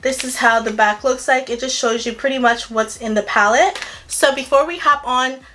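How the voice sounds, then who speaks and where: Chatty, gushing young woman, slightly raspy. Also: sits high, normal speed, a bit bright, a little hiss or hum. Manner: chatty and gushing, frequent "like" and "girl". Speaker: beauty vlogger. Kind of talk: casual makeup review.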